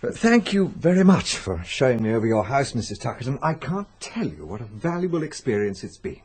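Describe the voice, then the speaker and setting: A courteous amateur investigator in a radio play. His mid-pitched, faintly husky voice swings widely in intonation. He is polite but probing, cautious and sceptical. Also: big pitch swings, brisk, a little mellow. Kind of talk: 1940s-style British radio drama acting.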